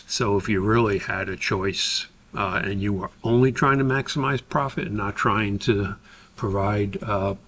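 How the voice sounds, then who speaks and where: A man giving a methodical, step-by-step software tutorial as an instructor, explaining in a somewhat hesitant way. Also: lowish voice, creaky, slight background noise.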